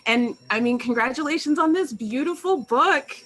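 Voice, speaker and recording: A woman hosting a live-streamed interview, effusive and complimentary, often pausing with "um" as she frames her questions. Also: high-pitched, a bit bright. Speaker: host and interviewer.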